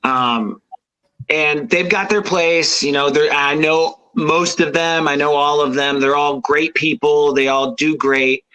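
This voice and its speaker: A man with an unusually high, bright voice talks casually as a guest. He rambles through fillers and restarts and laughs easily. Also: fairly even intonation, a little slow, rough recording. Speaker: rock band front man, guest. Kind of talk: casual radio chat.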